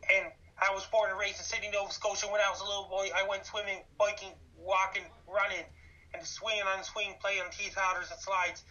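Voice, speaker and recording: A man in his thirties from Canada talks in an even, monotone way with a bright, forceful edge. He repeats facts and dates as he lists them. He comes through from a distance on a dull, muffled line. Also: sits high, brisk. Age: 30s. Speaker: guest, YouTuber. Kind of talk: interview answers.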